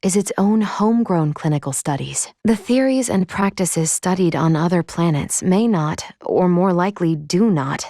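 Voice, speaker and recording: A woman voicing a polite, analytical female android in a video game, with formal, measured diction and a caring, fussy manner. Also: on the low side, expressive, warm tone, no echo, clean studio sound. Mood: patient, instructive.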